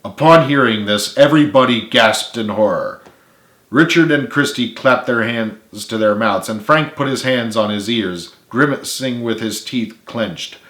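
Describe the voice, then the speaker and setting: A man narrates a dramatic story as its author, reading aloud. His voice sits at a medium pitch. The room around him rings with noticeable echo. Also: measured pace, fairly bright tone. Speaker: author reading own book. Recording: echoey room.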